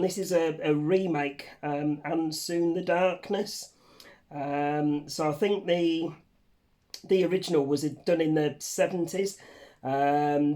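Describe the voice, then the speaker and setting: A man talks to camera in a raised, croaky voice, hoarse and worn from a cold. He chats in a loose, rambling way and swears casually. He is recorded indoors at home under a ring light. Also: a little high, warm tone. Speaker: channel host. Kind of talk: casual vlog chat. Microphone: facing camera under a ring light.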